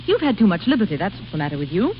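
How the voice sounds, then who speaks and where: An actress playing a haughty, commanding grand duchess in a vintage radio comedy. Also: female voice, mid-range voice, very animated, racing, faint music behind, close to an everyday voice. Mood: imperious, indignant. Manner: imperious, commanding. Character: haughty, imperious exiled grand duchess, female. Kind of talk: 1930s-40s American radio comedy acting. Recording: muffled, noisy old radio recording.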